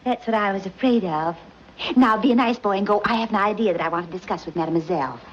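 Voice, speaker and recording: A woman's voice: mid-range voice, fast, a little hiss or hum, natural voice. A woman playing a fluttery, gushing wealthy society mother in an old film. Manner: fluttery, gushing, breathy little laughs. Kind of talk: 1940s film acting. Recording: dull old film soundtrack.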